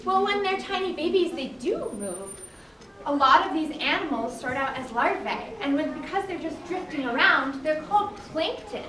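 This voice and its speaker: High, lively woman as puppet-show ocean guide. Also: normal speed, crowd noise. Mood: eager, explanatory. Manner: explanatory, theatrical. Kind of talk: scripted children's puppet show. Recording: echoey hall, distant mic, audience noise.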